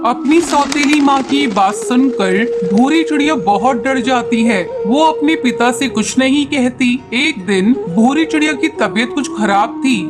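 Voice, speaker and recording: A man narrating a scripted children's story as its storyteller. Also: very high voice. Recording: background music bed.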